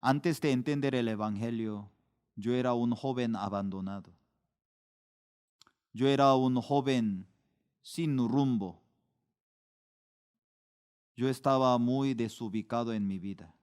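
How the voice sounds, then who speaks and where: Man preaching, slow and smooth. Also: darkish tone. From Korea. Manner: slow, deliberate preaching with sudden rises in pitch and volume for emphasis. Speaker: pastor. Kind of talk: sermon.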